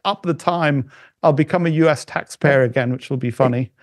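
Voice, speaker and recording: A middle-aged man chats as a podcast guest, his voice sitting a bit high. He talks in a halting way, circling back with restarts and fillers. The sound is dry and close. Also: dry recording. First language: not German. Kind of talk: casual conversation.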